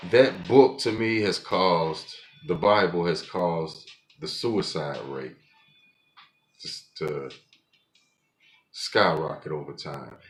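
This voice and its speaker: A man's voice: low-pitched, slow, pauses often. A man in his forties talking alone on his podcast in a blunt, profane, rambling way, often restarting his sentences. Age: 40s. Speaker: podcast host. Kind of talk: casual monologue.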